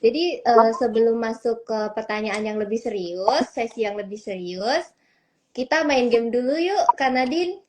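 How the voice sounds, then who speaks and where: A young woman co-hosting a student podcast, upbeat as she leads a quick question game. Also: a little high, a crowd in the background. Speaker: podcast co-host.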